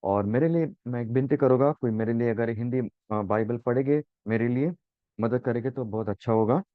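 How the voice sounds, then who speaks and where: A man with a hoarse throat teaching a Bible sermon, earnest and repeating phrases for emphasis, now and then slipping in English words. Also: mid-range voice, moderate pace, no echo. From India. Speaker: preacher. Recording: muffled dry recording.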